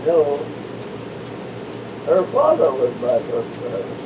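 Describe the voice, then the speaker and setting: Very old man speaking slowly. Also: a little high, big pitch swings, dull audio. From western Missouri. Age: 100s. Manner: slow, halting, searching for names. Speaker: interviewee, retired minister. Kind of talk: casual conversation. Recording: distant mic with background noise.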